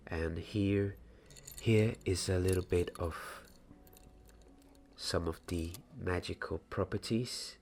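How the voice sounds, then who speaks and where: A man in his twenties playing a patient, mysterious young wandmaker apprentice in a soft-spoken, deliberate ASMR roleplay. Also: sits low, steady tone. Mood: hushed, instructive. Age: 20s. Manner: slow, hushed, deliberate, sometimes slipping into whisper.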